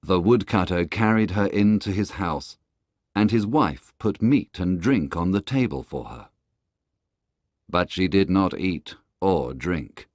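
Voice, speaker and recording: A man's voice: deep voice, slow pace, dry recording, clean studio sound. A man reading a scripted children's tale as its storybook narrator.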